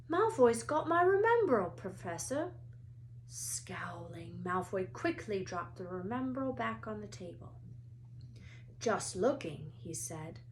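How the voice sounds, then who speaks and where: A woman's voice: mid-range voice, darkish tone, a little hiss or hum, low-quality recording. A woman reading a children's story aloud as narrator, expressively, like a teacher to pupils.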